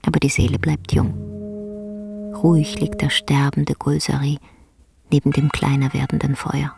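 Low-voiced, creaky woman narrator. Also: monotone, moderate pace, a little mellow. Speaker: narrator of a radio play. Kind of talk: literary scripted narration. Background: faint music.

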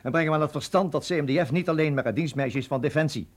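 A man's voice: a little high, very fast, lightly acted. A man acting in a radio drama as a pushy, frustrated official who argues in an urgent, persuasive way. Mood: urgent, insistent. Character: pushy, frustrated male colleague of a general.

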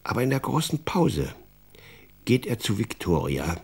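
A man with a low, husky voice narrates a children's radio play. His storytelling is expressive, with very lively rises and falls. The delivery is clearly scripted and made for listeners to follow. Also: a little slow.

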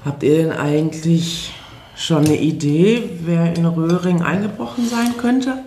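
A woman playing a blunt, excitable village woman who often exclaims, in a roomy space. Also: on the low side, a little slow, slight background noise, lightly acted. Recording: roomy radio drama scene, faint ambience.

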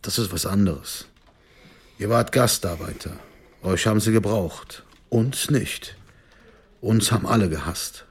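Deep, husky, insolent gangster. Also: male voice, a little slow. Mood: bitter, proud. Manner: provocative, self-assured. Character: proud, sly crime-clan man, self-justifying and insolent. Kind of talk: naturalistic German radio drama acting.

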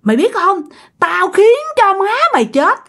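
Woman playing jealous dead woman's spirit, Southern Vietnamese accent, high pitch, animated swings, bitter, accusing, repetitive, ghost-story telling. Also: normal speed, husky, exaggerated voice. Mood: vengeful, cursing. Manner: accusing, repeats phrases insistently, bursts of menacing laughter. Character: vengeful, jealous female ghost possessing a woman, bitter and cursing.

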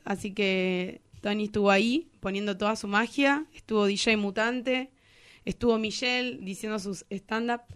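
A woman's voice: on the high side, fairly bright tone, clean tone. A woman with a Rioplatense Argentine accent, a musician chatting casually and colloquially as an interview guest. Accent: Rioplatense Argentine Spanish. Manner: colloquial, slangy. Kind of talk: casual radio interview chat.

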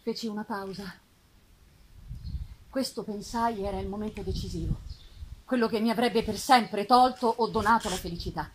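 A woman reading gothic fiction in a measured, expressive, dramatic narration. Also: medium pitch, low-quality recording. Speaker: reader. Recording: live festival recording with background noise.

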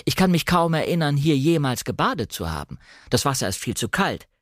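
A man's voice: on the high side, very animated, a little fast, no echo, clean studio sound, voice pushed up. A man voicing a bossy, outspoken upper-class country woman in a dramatic novel reading. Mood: brisk, bossy. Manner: outspoken, chiding.

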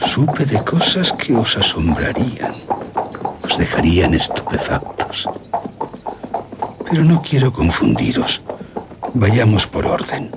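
Grave, embittered general, dramatically swooping. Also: male voice, fairly low pitch, very noisy, full character voice. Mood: solemn. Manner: grave, theatrical, emphatic phrasing with dramatic pauses. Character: grieving, embittered general bent on destroying monsters. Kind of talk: radio drama acting.

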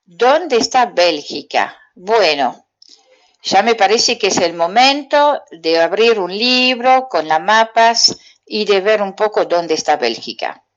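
A woman's voice: medium pitch, expressive, slow, highs cut off. A woman from Belgium with a Belgian French accent, giving a playful French lesson to children on the radio and quizzing them herself. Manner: chatty, asks children riddle-like questions and answers them herself. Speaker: French teacher on community radio.

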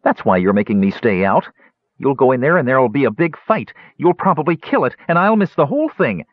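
A man voicing an excitable, chatty small male kender in an audiobook, talking in eager rushes. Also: medium pitch, no room sound, voice pushed up. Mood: eager, chattering. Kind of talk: audiobook character voice. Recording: dull, dry lo-fi recording.

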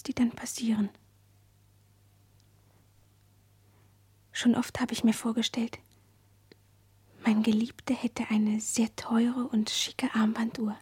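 An actress playing a dreamy, earnest teenage schoolgirl in a radio play, speaking in a hushed, breathy, confiding way. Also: on the high side, no echo. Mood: dreamy, curious. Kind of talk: intimate radio play dialogue.

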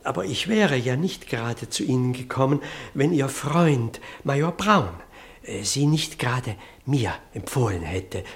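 A man's voice: mid-range voice, lively intonation, unhurried. A man playing a shy, very old country clergyman in a German radio play, hesitant and formally apologetic, repeating himself in agitation. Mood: pleading, urgent. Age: older.

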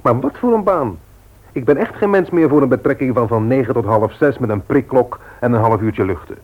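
A man's voice: medium pitch, animated, a little fast, lightly acted. An actor playing a reluctant, businesslike male shopkeeper in a Dutch radio play. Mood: reflective. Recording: tinny, narrow-band old radio recording.

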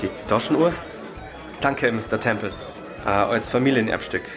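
An actor playing a polite, wistful gentleman in a radio crime drama, halting and musing as he speaks. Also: lowish voice, close to an everyday voice. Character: wistful, polite gentleman attached to his heirloom. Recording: music playing behind the voice.

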